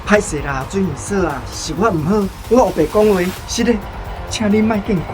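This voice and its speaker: A woman's voice: medium pitch, lively intonation, brisk, lightly acted. A woman playing a teasing village joker. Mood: sheepish. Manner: mocking then apologetic. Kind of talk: radio drama acting. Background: music.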